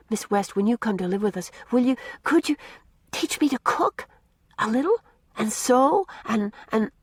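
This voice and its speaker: A woman's voice: fairly high pitch, no room sound, studio quality, pitched above the performer's own voice. A woman reader voicing an earnest, anxious little girl who pleads and begs to please. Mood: eager. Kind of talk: audiobook character reading.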